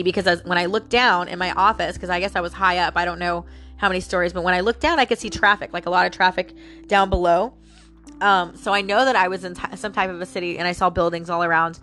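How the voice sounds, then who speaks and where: A woman's voice: fairly low pitch, sharp tone. Chatty woman with a stuffy, nasal voice. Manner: chatty, musing aloud, addresses listeners as guys. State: stuffy nose. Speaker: podcast host. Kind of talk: rambling personal monologue.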